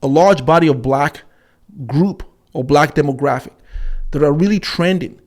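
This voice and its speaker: Higher-voiced man giving halting commentary. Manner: halting, repeats words, often checks with "right?". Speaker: commentary show host. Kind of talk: unscripted opinion commentary.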